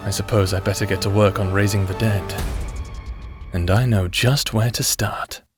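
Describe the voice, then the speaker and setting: A man's voice: on the low side, steady tone, natural voice. Man playing a solemn, theatrical necromancer over music. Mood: brooding, resolute. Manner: theatrical, solemn, dramatic pauses. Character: brooding, vengeful male necromancer, grieving and lovelorn. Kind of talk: anime-style audio roleplay acting, dramatic monologue.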